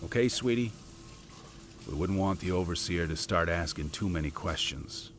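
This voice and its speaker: A man playing a devoted father and veteran intelligence monitor in an audio drama, speaking in a measured, matter-of-fact way. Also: a bit bright. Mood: gentle. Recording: audible low rumbling background noise.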